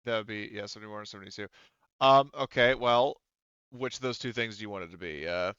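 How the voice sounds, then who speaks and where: A man acting as game master in casual, improvised talk, halting as he thinks aloud. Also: lowish voice, fairly bright tone, clear, resonant tone, no room sound, muffled recording. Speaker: game master of a tabletop roleplaying game.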